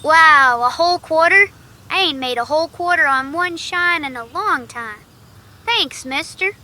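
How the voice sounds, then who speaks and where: A woman's voice: sits high, lively intonation, unhurried, pushed and bright, exaggerated voice. A woman playing an eager, scrappy young shoeshine boy with plucky folksy talk in a radio Western. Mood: eager, chirpy. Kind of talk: old-time radio Western acting.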